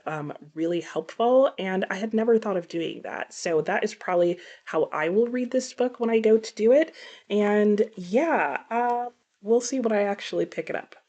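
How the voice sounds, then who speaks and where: A woman's voice: on the low side, darkish tone. A middle-aged woman chatting casually to viewers about books, thinking aloud and correcting herself as she goes. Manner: musing, self-correcting, conversational. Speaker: book vlogger.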